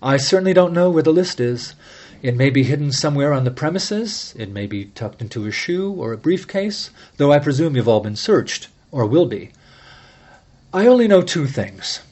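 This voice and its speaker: A man's voice: on the high side, very animated, a little mellow. A man doing a mild, relentless sleuth. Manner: measured, mild yet relentless, formal address. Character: calm, incisive middle-aged male amateur sleuth.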